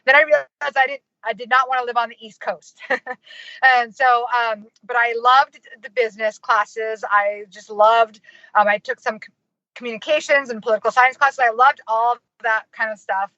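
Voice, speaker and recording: A woman's voice: on the high side, steady tone, normal speed, bright, forceful tone, dry recording. A woman from the US, a marketing consultant and professor, telling stories in a chatty, giggly way with many fillers over dull, lo-fi remote call audio. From United States. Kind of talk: casual interview storytelling.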